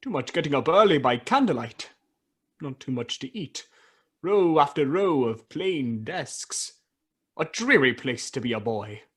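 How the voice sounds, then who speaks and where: A woman playing a regretful, easily moved old man in a radio play, with a husky, breathy voice and halting, dramatic delivery. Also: low-pitched, expressive, heavily acted. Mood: sad, subdued. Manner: halting, dramatic, swinging between exclamation and hush.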